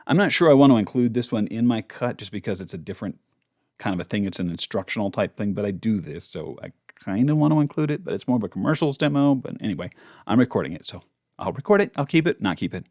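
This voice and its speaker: A man's voice: on the low side, animated, fast talker, darkish tone. A man talking casually and informally as he walks through a voice-over tutorial. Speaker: voice actor giving a tutorial. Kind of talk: casual instructional chat.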